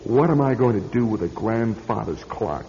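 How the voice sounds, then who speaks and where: An actor playing an irritable, cynical, self-pitying salesman in a radio drama, gruff, sarcastic and always complaining. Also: lowish voice, lively intonation, moderate pace, mellow. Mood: grumbling. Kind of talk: classic radio drama acting. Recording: dull radio sound, long reverb.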